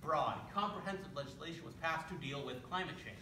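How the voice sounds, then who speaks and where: A man's voice: fairly high pitch, brisk, sharp tone. A young man performing a dramatic scripted recitation in readers theatre. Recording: echoey room, distant mic, noisy background.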